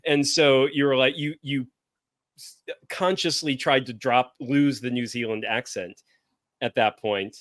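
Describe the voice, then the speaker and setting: A man hosting a casual chat, enthusiastic and agreeable, quick to chime in. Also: mid-range voice, steady tone, a bit bright, dry recording. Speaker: podcast host.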